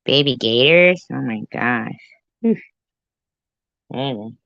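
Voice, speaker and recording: Woman commentator: blunt and sarcastic, unhurried delivery, dead-dry mic. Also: very deep voice, lively intonation, creaky, dry recording. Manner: blunt, sarcastic, drawn-out remarks. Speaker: commentary YouTuber. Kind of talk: reaction commentary. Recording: dry, muffled lo-fi sound.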